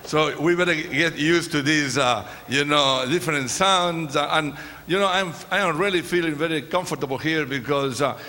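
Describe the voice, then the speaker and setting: A man's voice: fairly high pitch, normal speed, pushed and bright. A man from Chile, a native Spanish speaker with a Chilean accent, preaches informally as a guest speaker to a congregation, often hesitating as he goes. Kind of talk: sermon with informal, joking remarks to a congregation. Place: large church, speaking from the stage. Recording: reverberant church hall, congregation noise.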